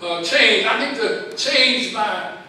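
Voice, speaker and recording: An older man with a Southern US accent preaching a sermon as pastor, in a drawn-out call-and-response style, into a handheld mic in a large church. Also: high voice, lively intonation, sharp tone, slight background noise. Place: large church sanctuary with congregation. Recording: very echoey church hall, heard from a distance. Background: congregation calling back.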